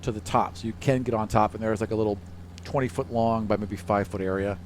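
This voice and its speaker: A man's voice: fairly low pitch, steady tone, a little mellow, slight background noise. A man improvising narration as game master of a tabletop game, casual and joking. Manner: casual, joking, quick to laugh.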